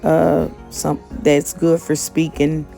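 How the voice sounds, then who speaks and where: A woman giving a casual motivational talk in a monotone, conversational way with many fillers. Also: low-pitched, slow pace, vocal fry, soft background music. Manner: monotone, self-described. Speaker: motivational vlogger and gig worker.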